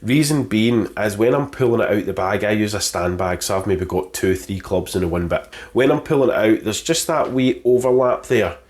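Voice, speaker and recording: A man with a fairly low voice, audibly bunged up with a cold. He talks to camera in a casual, cheeky way, slipping jokes and innuendo into a golf gear review, recorded in his home kitchen. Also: animated, normal speed, hardly any pauses, darkish tone. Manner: cheeky, jokey, full of innuendo. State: congested with a cold.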